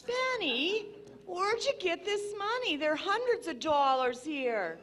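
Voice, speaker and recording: An actress playing a melodramatic, high-strung young niece in a 1940s radio drama, with theatrical exclamations. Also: female voice, expressive, a little slow, a bit bright, exaggerated voice. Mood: shocked, anguished.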